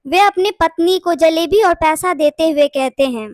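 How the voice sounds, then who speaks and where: A woman's voice: extremely high pitch, normal speed, darkish tone, dry recording, clean studio sound. A woman reading scripted narration for a children's bedtime story.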